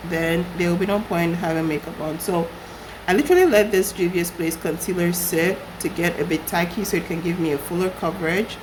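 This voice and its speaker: A young woman recovering from a flu, her voice husky, chatting casually through a makeup tutorial. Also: lowish voice, background noise. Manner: chatty, rambling with fillers. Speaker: beauty vlogger.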